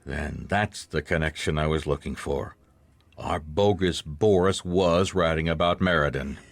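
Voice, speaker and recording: An actor playing a gruff, world-weary private investigator in a radio drama, dry and terse. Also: male voice, rumbling low, unhurried, no room sound. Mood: businesslike.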